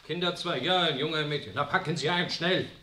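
A man's voice: fairly high pitch, fairly quick, bright, forceful tone. An actor playing a curt, officious Italian militiaman who speaks broken German in a radio drama. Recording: roomy, distant from mic.

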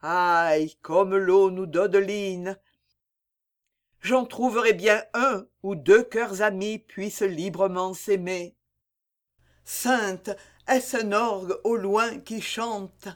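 A man reading the part of a dying young girl in a slow, lyrical, solemn way. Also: sits high, fairly even intonation. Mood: dreamy. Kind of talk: dramatic poem reading.

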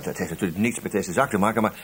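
An actor playing a flippant, sardonic intelligence agent in a Dutch radio play, casual and a little hesitant. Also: male voice, on the low side, racing, breathy edge. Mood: measured, hesitant. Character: wry, flippant male intelligence agent answering his boss.

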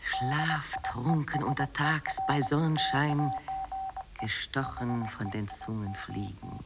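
A man's voice: medium pitch, takes it slowly, over loud music. An actor playing a drowsy, ponderous insect, drawing out his words in an experimental radio play. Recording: long reverb with clear hiss.

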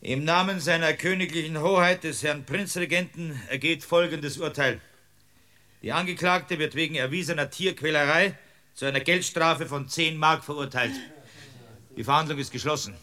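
A brisk Bavarian judge runs a radio comedy courtroom. His voice is fairly high, sharp and forceful, commanding the room with wry amusement. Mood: formal.

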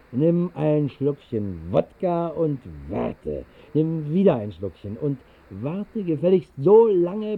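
An actor playing a drunken, whimsical tavern dreamer, narrating wryly and theatrically in a 1960s radio drama. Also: male voice, fairly high pitch. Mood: self-coaxing, whimsical. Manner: theatrical, wry, mock-solemn. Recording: dull 1960s radio recording.

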